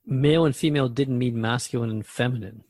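A man's voice: medium pitch, fast, a little mellow, dry recording. A man hosting a radio drama dialogue, asking questions and musing aloud in a hesitant, conversational way. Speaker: radio host in a scripted dialogue.

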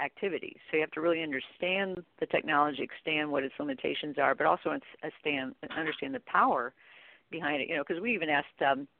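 A woman's voice: deep voice, vocal fry, no echo. Middle-aged woman, expert interview guest. Conversational storyteller who acts out quotes, heard over a narrow, dry phone connection. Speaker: guest expert, training company chief executive.

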